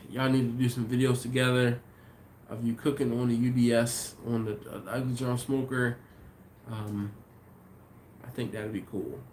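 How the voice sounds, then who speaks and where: Congested man hosting a live chat, easygoing, mid pitch, slight background noise. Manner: easygoing, thinks aloud with many ums and restarts. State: congested, sniffly. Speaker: livestream host. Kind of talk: casual live chat reading viewer comments.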